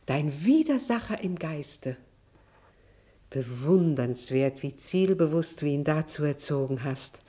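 An actress playing a proud, embittered estranged wife and mother in a German radio drama, speaking in a deliberate, theatrical, eloquent way. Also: low-pitched, expressive, warm tone. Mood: bitter, reproachful.

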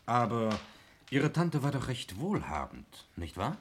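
A shrewd, courteous male sergeant in an old radio mystery. His pitch moves a lot as he insinuates, over faint hiss and noise. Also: huge pitch swings, measured pace. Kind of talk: German 1960s radio play acting. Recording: noisy vintage radio recording.

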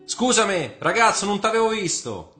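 A man acts out an excitable coachman from a historical radio story. He shouts loudly, pitched far up high, exclaiming over a bed of music. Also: moderate pace, heavily acted. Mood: hurried apology. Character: shouting, jubilant male coachman of 1849 Rome.

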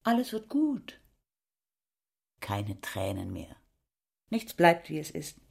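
An actress playing a good-natured medical assistant in a radio play, comforting in a husky, hushed voice. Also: female voice, sits low, huge pitch swings, slow, heavily acted. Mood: soothing.

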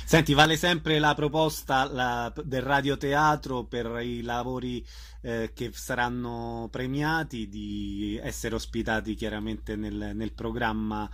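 A man's voice: mid-range voice, little pitch movement, takes it slowly, fairly bright tone. A man hosting a casual radio interview in an informal, friendly manner.

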